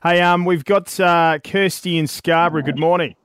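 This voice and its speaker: A man, co-hosting radio and brightly introducing callers. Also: a little high, steady tone, moderate pace, smooth, no room sound, studio quality. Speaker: radio co-host.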